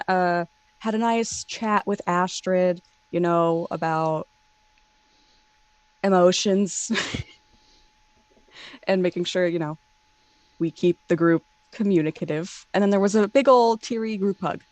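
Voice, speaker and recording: A woman's voice: lowish voice, steady tone, slow pace, pauses often, no room sound. A woman player on a tabletop game show giving a casual, conversational recap.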